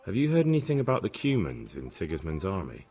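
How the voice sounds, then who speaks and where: A man's voice: sits low, huge pitch swings, quick pace, dark tone, breathy edge, full character voice. An actor playing an earnest young man, a blacksmith's son serving as a guard in a medieval video game, with a plain manner. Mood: questioning. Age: young adult. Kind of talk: video game dialogue acting.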